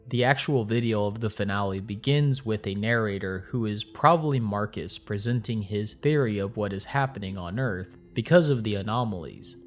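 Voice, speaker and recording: Low-pitched man narrating a video essay. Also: normal speed, few pauses, faint music behind. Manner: explanatory, addressing viewers. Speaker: host of a video analysis channel.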